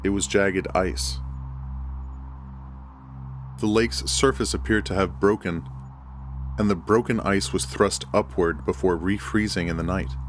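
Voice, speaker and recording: Low-voiced man narrating over music. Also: steady tone, unhurried, long pauses. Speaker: horror fiction narrator. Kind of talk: scripted first-person audiobook-style story narration. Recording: music bed under the voice. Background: background music.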